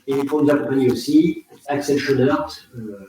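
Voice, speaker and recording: A man's voice: mid-range voice, normal speed, a crowd in the background. A man hosting a live panel discussion, chatty and informal, with side stories. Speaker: host, moderator. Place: office. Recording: very echoey room, distant mic.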